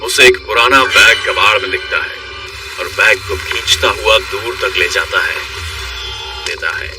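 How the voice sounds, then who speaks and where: A man's voice: fairly low pitch, unhurried. A man reading scripted horror stories as a dramatic narrator. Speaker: story narrator. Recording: loud music bed, slightly distant voice.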